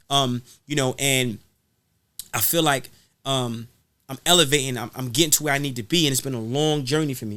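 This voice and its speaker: A man's voice: medium pitch, fairly bright tone, dry recording. A man speaking in an impassioned, preachy podcast style, repeating phrases for emphasis. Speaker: guest rapper being interviewed.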